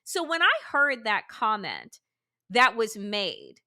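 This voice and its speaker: A woman's voice: fairly high pitch, very animated, slow, bright, forceful tone. A woman hosting a casual political chat, speaking emphatically and frankly. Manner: emphatic, forthright commentary. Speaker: podcast host.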